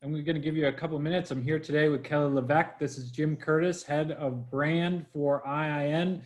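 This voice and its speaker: A man's voice: on the high side, steady tone, a little fast, a little mellow. Upbeat male livestream host. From Boston, USA. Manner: upbeat, chatty, addresses viewers directly. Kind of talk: livestream interview.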